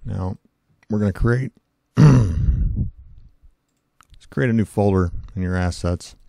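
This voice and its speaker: Deep, hoarse man explaining casually. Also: takes it slowly, mellow, dry recording, studio quality. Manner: casual, rambling, many fillers and self-corrections. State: hoarse from a cold. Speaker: tutorial host. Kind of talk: screen-recorded software tutorial.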